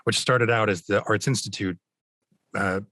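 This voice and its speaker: Low-voiced man with mid-Atlantic accent. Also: steady tone, normal speed, bright, forceful tone, no room sound. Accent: mid-Atlantic American. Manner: rambling storyteller, many fillers and restarts. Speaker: voice actor guest. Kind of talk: casual podcast chat.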